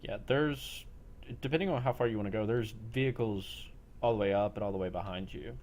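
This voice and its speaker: A man's voice: lowish voice, a little fast, darkish tone, a little hiss or hum. A man running a role-play game as game master, describing scenes off the cuff in a matter-of-fact way. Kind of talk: improvised tabletop-style game narration. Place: online group game session.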